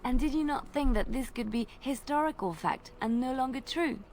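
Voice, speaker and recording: A woman voicing a young female bard and former spy in a video game, speaking in a soft, lilting way and lingering on detail. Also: high-pitched, moderate pace, slight background noise. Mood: teasing. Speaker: game companion character.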